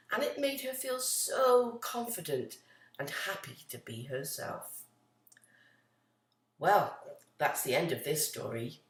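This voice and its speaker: Low-voiced woman reading a children's story. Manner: expressive, deliberate storybook delivery with pauses. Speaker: storyteller, charity patron. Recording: roomy, echoey, distant from the mic.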